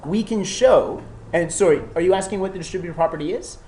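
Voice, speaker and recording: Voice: medium pitch, fast, warm tone. A math teacher who has a cold gives a classroom lecture, often checking in with students and encouraging them.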